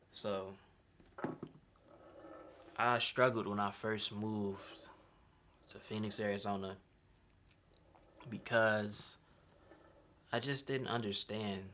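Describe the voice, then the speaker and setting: Young chicago guy talking about his custom shoe, low voice, real laid back and slangy. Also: a bit bright, a little hiss or hum. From Chicago, USA. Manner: laid-back, street slang, asks "right?" often. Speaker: sneaker designer. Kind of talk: casual vlog explanation.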